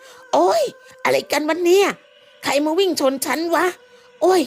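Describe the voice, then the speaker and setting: A rough, cheeky male villager who swears freely and teases with a fawning edge, put on by a woman narrator. The voice is pitched high and brash, as in a told ghost story. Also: normal speed. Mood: angry cursing.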